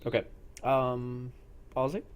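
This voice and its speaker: A man's voice: mid-range voice, little pitch movement, slow, clean tone. A man speaking casually as he runs a post-game chat for a roleplay group. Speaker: game master of an online tabletop-style roleplay group.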